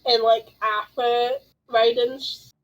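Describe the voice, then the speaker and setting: A woman's voice: on the high side, flat delivery, takes it slowly, dull audio. A woman gamer chatting casually and playfully with a friend as she plays. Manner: playful, informal. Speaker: gamer playing along with a friend. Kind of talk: casual gaming chat.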